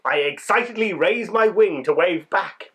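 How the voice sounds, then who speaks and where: Man voicing an excitable little winged bug, lively pitch. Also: a little slow. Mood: excited, amazed. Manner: wide-eyed, dramatic, emphatic first-person telling. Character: small excitable winged insect narrating its adventure. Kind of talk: children's storybook read-aloud.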